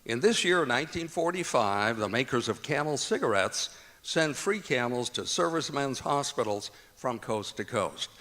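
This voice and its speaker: An American man announces in old-time radio style with a bright, forceful voice and lively, swinging intonation. He reads scripted copy from an auditorium stage, his words ringing through the hall. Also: mid-range voice, normal speed. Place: performing arts auditorium stage, live performance. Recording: long hall reverb, music behind, live auditorium.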